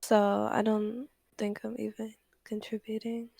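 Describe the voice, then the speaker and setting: A young woman from Morocco chatting on a call, halting and unsure, filling gaps with likes. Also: mid-range voice, completely flat delivery, gravelly, mellow, no echo. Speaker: guest. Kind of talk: casual chat on a call.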